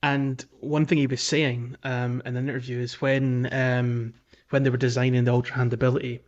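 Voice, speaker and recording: Casual man co-hosting, halting delivery. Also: medium pitch, flat delivery, muffled recording. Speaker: podcast co-host. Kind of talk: casual video game podcast chat.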